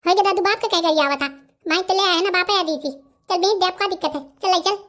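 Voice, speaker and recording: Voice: very high voice, fairly quick, sharp tone. An actor with a Bundelkhandi accent voicing a chatty, stubborn young villager in a comedy cartoon. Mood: eager.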